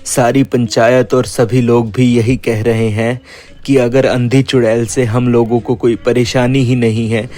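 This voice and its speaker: A man playing a cautious, reasonable village headman in a cartoon story, speaking politely and in a measured way. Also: mid-range voice, flat delivery, warm tone, close to an everyday voice. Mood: reasoning. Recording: background music.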